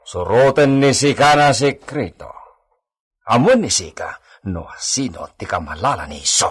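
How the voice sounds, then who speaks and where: A haughty, suspicious hacienda master in a radio drama. His voice is mid-pitched and husky, swinging widely as he orders servants about in a stern, imperious way. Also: sing-song, a little slow. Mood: commanding, suspicious. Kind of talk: Ilocano radio drama acting.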